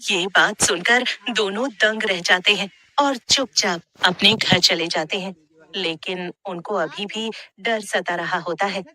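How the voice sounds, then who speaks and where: Bright-voiced woman narrating a horror story. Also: mid-range voice, unhurried.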